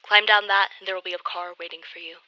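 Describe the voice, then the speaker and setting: Crime boss's determined daughter, piercingly bright, dry close sound, brisk and commanding. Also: female voice, medium pitch, little pitch movement, no echo, dull audio. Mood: urgent. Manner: brisk, insistent, formal.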